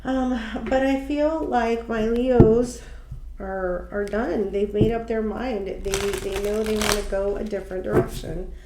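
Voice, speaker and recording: A woman giving a casual tarot card reading, speaking slowly, a little nasal and stuffy from allergies, musing aloud with ums and restarts. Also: medium pitch, steady tone, echoey room, heavy background noise. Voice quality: slightly nasal. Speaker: tarot reader.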